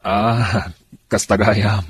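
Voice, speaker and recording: A man with a very husky, rough voice playing an easygoing, colloquial driver in a radio drama. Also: lowish voice, little pitch movement, extremely slow, a little hiss or hum. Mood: sheepish. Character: easygoing male driver helping friends with a scheme.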